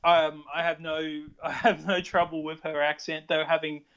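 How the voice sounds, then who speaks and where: A man's voice: fairly high pitch, little pitch movement, normal speed, fairly bright tone, clean tone. An older man with an Australian accent, chatting casually on a podcast, rambling with many restarts. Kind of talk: casual chat. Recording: dull lo-fi sound.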